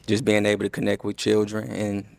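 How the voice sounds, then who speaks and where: A man's voice: lowish voice, monotone, brisk, creaky, a little mellow, no echo. A man in his twenties, a dating show contestant, talking earnestly with some hesitation. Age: 20s.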